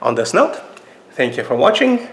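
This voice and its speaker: A man with a Russian accent casually reviewing knives at a table for his channel, halting with many fillers and restarts. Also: fairly high pitch, very animated. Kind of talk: casual knife review vlog. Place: indoors at a table.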